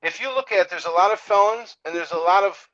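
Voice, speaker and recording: Male trucking owner, notably high voice, frank and informal, slightly lo-fi recording. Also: fast talker, fairly bright tone. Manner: blunt, straight-talking, acts out quotes. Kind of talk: casual opinionated discussion.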